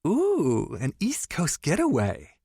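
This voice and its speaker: A man voicing an excitable young woman best friend who squeals and gushes over video chat in an audiobook. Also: slow pace, breathy edge, no room sound, clean studio sound, exaggerated voice. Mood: gushing. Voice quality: shrill, squealing. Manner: bubbly, breathless gushing. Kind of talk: audiobook character voice.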